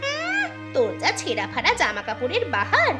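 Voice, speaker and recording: A woman voicing village women in a cartoon folk tale, with exaggerated, expressive delivery. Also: high voice, brisk, over music. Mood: scornful, scolding.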